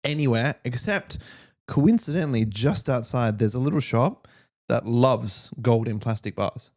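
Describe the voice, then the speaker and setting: An Australian man chatting casually as a podcast guest, telling anecdotes in a relaxed conversational way. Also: mid-range voice, measured pace.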